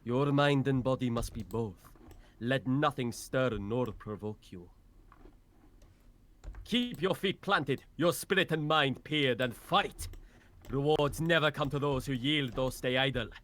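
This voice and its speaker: A man voicing a stern, wise warrior mentor for a video game, speaking in solemn, measured proclamations. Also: medium pitch, a bit bright, voice pushed up, close to an everyday voice. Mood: solemn, commanding. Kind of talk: video game character lines, dramatic reading.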